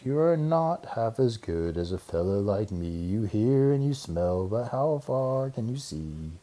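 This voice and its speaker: A man's voice: on the low side, very animated, takes it slowly, dark tone. A man voicing a little old worm in a children's storybook, scolding and sure of himself. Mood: smug scolding.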